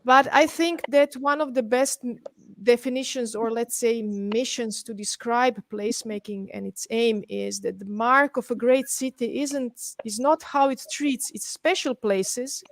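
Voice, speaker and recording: Higher-pitched Serbian-accented woman presenting. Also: fairly even intonation, a little slow, no echo. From Belgrade, Serbia. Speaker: guest presenter, urban planner. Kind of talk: webinar presentation. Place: indoors, on an online webinar call.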